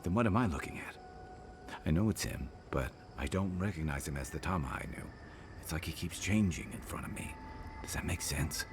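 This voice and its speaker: An actor playing a weary, loyal, regretful companion in a video game, speaking in an earnest, deliberate, reflective way. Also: male voice, a bass voice, expressive, background noise. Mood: troubled, wistful. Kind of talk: video game dramatic dialogue.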